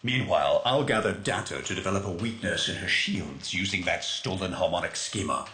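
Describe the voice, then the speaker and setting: An actor voicing a calm, methodical tech genius of a rebel crew in a video game, speaking in a measured, analytical way. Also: male voice, deep voice, slight background noise. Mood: calm, focused. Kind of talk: video game character acting. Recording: echoing voice under loud music.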